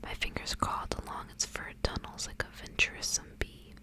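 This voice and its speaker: A woman reading a literary story aloud in a breathy, hushed whisper, in the gentle narrating style of an ASMR reading. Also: moderate pace.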